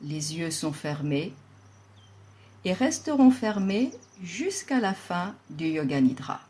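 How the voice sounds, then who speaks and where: A woman leading a guided yoga relaxation as an instructor, speaking in a calm, soothing and deliberate way with long pauses. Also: on the low side.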